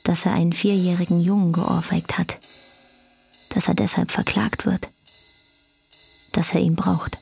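A woman's voice: lowish voice, level tone, unhurried, vocal fry, long pauses, darkish tone, husky, faint music behind. A woman narrating a radio drama in a cool, detached way, at times close to a whisper.

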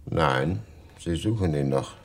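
An actor playing a shrewd, guarded bookseller in a crime radio drama, terse and gravelly. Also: male voice, rumbling low, expressive, slow pace, exaggerated voice. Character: guarded, shrewd male bookseller, coolly suspicious.

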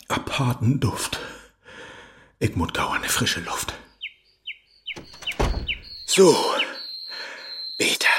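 An actor playing a clumsy, lovestruck male scholar who chases a woman, speaking with a Low German accent in a bumbling, eager, theatrical way, in a radio play. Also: husky, no room sound, heavily acted. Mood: disgusted.

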